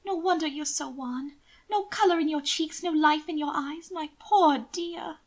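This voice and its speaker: A woman's voice: high-pitched, unhurried, pitched above the performer's own voice. A woman voicing a doting, manipulative upper-class young lady for an audiobook, coaxing and full of affected endearments. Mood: pitying, sweet. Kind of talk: audiobook character acting.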